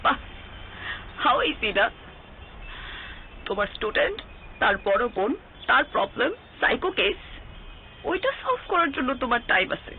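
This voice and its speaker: A woman's voice: fairly high pitch, sing-song, normal speed, pauses often. An actress playing a hurt, accusing young girlfriend in a TV drama, with a Bangladeshi accent, emotional and sarcastic, mixing in English. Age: young adult. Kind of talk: TV drama acting. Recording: thin phone-like sound, faint noise.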